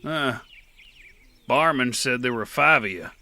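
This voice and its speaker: A man's voice: medium pitch, animated, measured pace, pushed and bright, exaggerated voice. A man narrating and playing a rugged, weary gunslinger in an audio drama, with a dry, terse delivery. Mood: steady. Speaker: narrator and lead.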